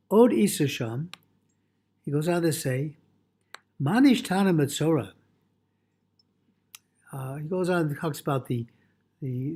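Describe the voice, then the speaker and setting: A male rabbi delivers a religious lecture, reading and translating a text a phrase at a time. His voice is husky and mellow, sitting a little higher than average for a man. The delivery is halting and explanatory, with Hebrew quotations woven through. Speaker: rabbi teaching Torah.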